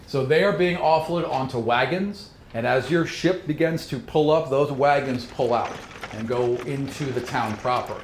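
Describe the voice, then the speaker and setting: A man's voice: medium pitch, normal speed, slight background noise. A man narrating a tabletop role-playing game as game master, moving between scripted description and conversational, improvised scene-setting with repeated phrases.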